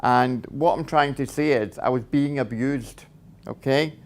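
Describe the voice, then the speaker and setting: A man's voice: medium pitch, unhurried. A middle-aged man with a Scottish accent tells his own life story to an audience in a plain, direct way, with many "uh" fillers and "okay?" check-ins. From Scotland. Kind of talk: personal testimony talk.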